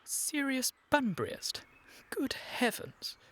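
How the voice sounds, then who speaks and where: A man with a British accent playing a flustered, earnest young Victorian gentleman in a radio comedy, with formal, exasperated, precise diction. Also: on the high side, expressive, normal speed, a little hiss or hum. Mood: exasperated, indignant. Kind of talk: scripted radio comedy acting.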